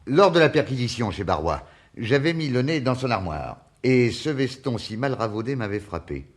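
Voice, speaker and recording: A man's voice: mid-range voice, very animated, lightly acted. A shrewd lead detective , played by a male actor, in a crime radio play. His voice sits in the middle register. He questions and explains patiently, with assured authority. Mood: assured, explaining. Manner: probing, measured, assured. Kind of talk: French radio crime drama acting.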